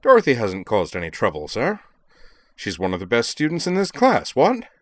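A man's voice: mid-range voice, huge pitch swings, moderate pace, dry recording, voice pushed up. A man voicing a plucky, foppish young male student in an audiobook, with an exaggerated upper-class English accent and indignant, mannered delivery. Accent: comic-opera upper-class English.

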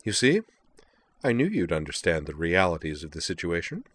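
A man's voice: deep voice, very animated, moderate pace, fairly bright tone, dry recording, exaggerated voice. A man voicing a haughty, hot-tempered young woman who captains a fencing club in a narrated story, imperious and indignant. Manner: imperious, indignant, clipped and sputtering. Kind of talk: acted dialogue in a narrated story.

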